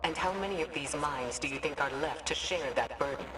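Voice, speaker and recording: A woman's voice: sits low, brisk, far from the mic, over music, natural voice. A woman playing a stern, protective, impatient leader in a video game, speaking firmly and formally. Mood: stern, questioning. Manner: firm, formal, urgent. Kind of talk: video game cutscene acting.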